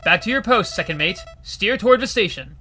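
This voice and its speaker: A grim ship captain who barks short, commanding orders in a sharp, forceful voice, as a male narrator plays him. His voice sits a little high, with faint music behind it. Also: lively intonation, a little fast. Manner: curt, commanding, barking orders.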